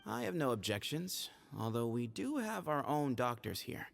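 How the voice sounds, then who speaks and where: An actor playing a guarded young desert temple heir, cool and hushed, in a video game. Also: male voice, mid-range voice, big pitch swings, moderate pace, gravelly, soft background music. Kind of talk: game voice acting.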